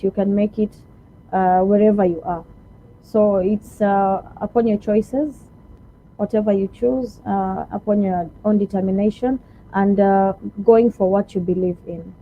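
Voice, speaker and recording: A woman speaks as a guest in an even, flat tone. Her answers come slowly and reflectively, and the sound is tinny and narrow, like a phone line. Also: mid-range voice. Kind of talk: casual interview answers. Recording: tinny phone-like remote call.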